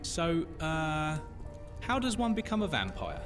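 A man's voice: a little high, wildly swooping pitch, a little slow. A man playing a cheeky, nosy young man in an audio drama, teasing in an exaggerated manner. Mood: curious. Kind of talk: immersive audio drama roleplay. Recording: music behind the voice.

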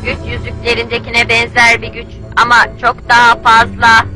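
A female computer assistant from a cartoon. She reports calmly in a bright, completely flat, matter-of-fact voice, faint music behind. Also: on the high side, normal speed. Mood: detached. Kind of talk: animated cartoon dub acting.

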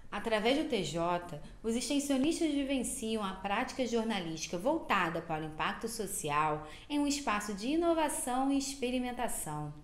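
A woman narrating a scripted voice-over in an even, formal way. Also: on the high side, moderate pace, hardly any pauses, reverberant.

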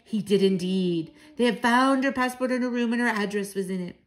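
A woman's voice: medium pitch, fairly quick, natural voice. Woman as a bold, emphatic old grandmother. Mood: self-assured, proud. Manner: assured, emphatic, dramatic. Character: bold, proud old Norwegian grandmother, conspiratorial and affectionate.

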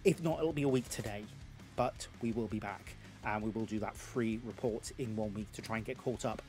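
Slightly hoarse, rambling Geordie man. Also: fairly low pitch, fairly quick, a little mellow, soft background music. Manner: rambling, self-deprecating, lots of filler words. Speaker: YouTube host. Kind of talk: casual battle report narration. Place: home games room.

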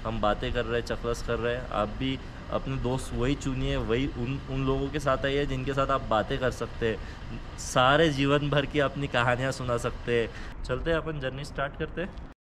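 A man's voice: medium pitch, steady tone, moderate pace. A man hosting a casual travel vlog outdoors in a cold mountain valley. He talks in a chatty, joking way and slips English phrases into his speech. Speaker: travel vlogger host. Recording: outdoor noise with passing vehicles.

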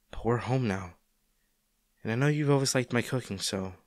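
A man's voice: on the low side, fairly bright tone, no echo, studio quality. A man acting a caring, worried boyfriend in an intimate audio roleplay, speaking tenderly and coaxingly to his injured partner. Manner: tender, coaxing, hesitant, speaking directly to the listener.

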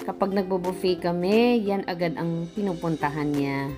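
A woman's voice: lowish voice, steady tone, moderate pace, music in the background. A middle-aged Filipino-accented woman narrating a food vlog in a casual, presenting style. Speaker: food vlogger.

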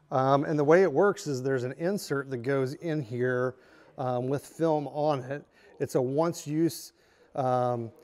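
A man's voice: fairly high pitch, a little mellow. A man in his thirties casually walking through products in a large indoor showroom, chatty with lots of "um" and "right?". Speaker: store product presenter.

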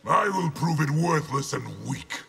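An actor playing a belligerent villain warlord in a video game, with a harsh gravelly voice and scornful delivery. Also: male voice, on the high side, unhurried. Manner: scornful, forceful. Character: contemptuous, belligerent male villain warlord general. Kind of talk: video game character acting.

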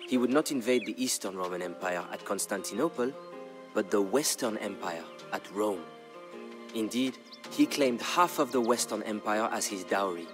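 Guy acting as solemn male game narrator, storybook war history, lowish voice, measured n dramatic, music behind. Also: animated, lightly acted. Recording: narration over background music.

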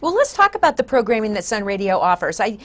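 An older American woman hosts a studio talk show in a conversational way. Her pitch is average for a woman as she guides the interview. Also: very animated, quick pace. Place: small indoor TV studio.

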